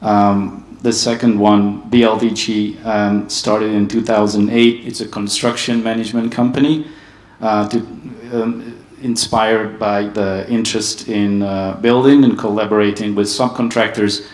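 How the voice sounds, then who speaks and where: A man from Hungary giving a conference panel talk, steady and hesitant with many ums. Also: lowish voice, a little slow, roomy sound. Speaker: panel speaker. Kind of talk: conference presentation.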